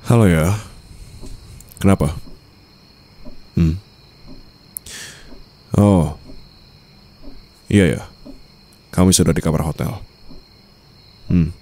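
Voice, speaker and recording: Man acting a low, slow-talking mafia boss. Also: steady tone. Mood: polite, reassuring. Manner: unhurried, composed, quietly commanding, formal. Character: cool, controlling young mafia boss, newly married husband. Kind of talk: Indonesian ASMR roleplay acting.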